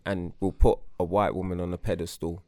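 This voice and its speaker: Guy on a podcast, lowish voice, earnest, dry close sound. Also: little pitch movement, a little fast, mellow, no echo. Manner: earnest, explaining, insistent. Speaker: podcast co-host. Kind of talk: casual banter among friends.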